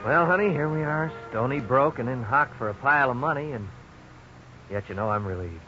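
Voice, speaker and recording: A good-humored, optimistic husband in a 1950s radio play, relieved to be broke but square. The voice is bright and very lively, on a muffled old recording. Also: male voice, mid-range voice, fairly quick, faint music behind. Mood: relieved, wry. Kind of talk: 1950s radio drama acting.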